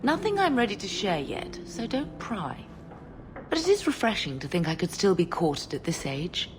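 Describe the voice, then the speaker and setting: A woman's voice: medium pitch, big pitch swings, normal speed, noisy background, lightly acted. An actress playing a genteel, middle-aged mother in a video game, coy and affectionate.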